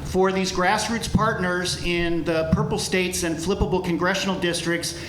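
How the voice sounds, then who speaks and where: A man's voice: high voice, steady tone, normal speed, fairly bright tone, slight background noise. A middle-aged man speaking live to a concert audience as a volunteer, earnest and grateful, rallying the crowd with plenty of fillers. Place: large room with live audience. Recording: echoey room, crowd murmur.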